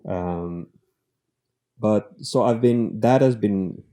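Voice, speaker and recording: Man, host, low voice, mellow tone, earnest, casual interview. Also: extremely slow. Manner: earnest, thinking aloud. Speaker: podcast host.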